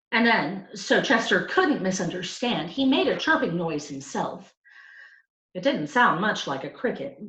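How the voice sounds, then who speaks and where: A woman reading a children's story aloud with expressive, emphatic narration. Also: lowish voice. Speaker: storybook reader. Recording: roomy, slightly dull sound.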